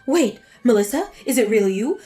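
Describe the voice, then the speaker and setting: A woman's voice: fairly high pitch, animated, a little fast, dark tone, faint music behind, pitched below the performer's own voice, lightly acted. A woman voicing a spiteful, scolding older mother-in-law in a scripted text-story drama. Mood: defensive. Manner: scolding, contemptuous.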